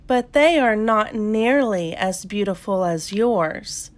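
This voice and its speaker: A woman's voice: mid-range voice, lively intonation, takes it slowly. A woman voicing a young female goat kid, wistful and eager, in a plaintive, childlike storybook manner.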